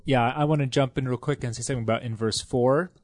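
A man's voice: medium pitch, steady tone, fast, a little mellow, no room sound, clean studio sound. A man in a casual scripture study discussion, talking with enthusiasm, rambling, with lots of fillers and restarts.

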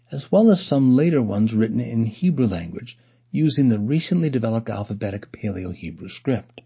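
A man reading a scholarly essay aloud in a measured, formal voice. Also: medium pitch, creaky, warm tone, slightly rough. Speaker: reader. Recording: dull lo-fi recording.

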